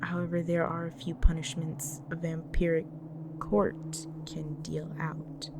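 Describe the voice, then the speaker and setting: A woman playing a grave, devoted vampire wife in an intimate audio roleplay, speaking solemnly to the listener in an often breathy, whispered delivery. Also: low voice, monotone, measured pace, heavy background noise. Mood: solemn, resolute.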